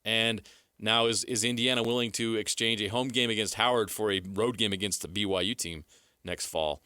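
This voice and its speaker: American sports radio guy, lowish voice, insistent, repeats himself for emphasis, dry close studio sound. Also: fairly even intonation, a little fast, bright, forceful tone, clear, resonant tone, dry recording. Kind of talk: sports talk, making a pitch to a co-host.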